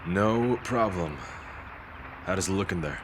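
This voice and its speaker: A man's voice: low-pitched, measured pace, noisy background. An actor playing a wary, methodical male police detective in an audio drama, grave and blunt. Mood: businesslike.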